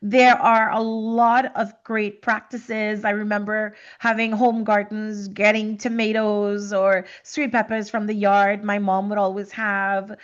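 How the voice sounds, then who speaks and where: A woman's voice: medium pitch, flat delivery, a little slow, few pauses, lo-fi sound. A woman from Trinidad and Tobago speaking reflectively and conversationally as a podcast host, often repeating words as she searches for phrasing.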